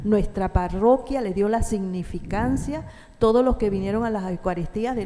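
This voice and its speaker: A woman addresses a parish gathering in a mellow, measured voice, pausing often and putting questions to the listeners. Her words ring with the long reverb of a church hall. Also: mid-range voice. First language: Spanish. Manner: measured, thoughtful, with pauses and fillers; asks the audience questions. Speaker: parish speaker at a church talk. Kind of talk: explanatory talk to a parish audience. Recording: distant echoing church hall with faint noise.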